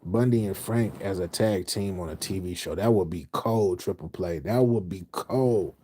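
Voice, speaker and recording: A low-pitched man with a dark, mellow hosts a late-night livestream, reading comments aloud. He is middle-aged and from Houston, and he rambles in slang, restarting and repeating lines as he goes. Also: moderate pace, slight background noise. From Houston, Texas. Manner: loose, slangy, repeats phrases, trails off. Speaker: livestream host reading chat.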